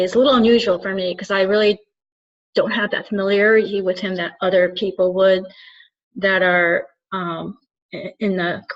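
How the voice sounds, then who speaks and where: A woman from Turkey, a spiritual coach, talking alone to camera in an earnest, soft-spoken way, often pausing and restarting to find her words. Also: mid-range voice. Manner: halting, searching for words, frequent restarts. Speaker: spiritual channeler and soul coach. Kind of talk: reflective solo monologue to camera. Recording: slightly dull lo-fi recording.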